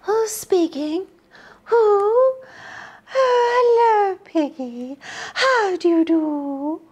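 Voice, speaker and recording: A woman's voice: very high voice, animated, extremely slow, darkish tone, voice pushed up, heavily acted. A woman voicing a confident storybook heroine, a young lady with a gushing, sugary manner. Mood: sing-song greeting.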